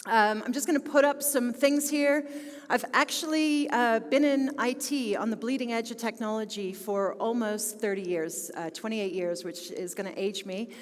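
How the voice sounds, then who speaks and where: A woman's voice: on the high side, a little slow. Upbeat Philadelphia woman giving a keynote. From Philadelphia, United States. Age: 40s. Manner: enthusiastic, with frequent fillers. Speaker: conference speaker. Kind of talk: conference keynote talk. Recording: long hall reverb.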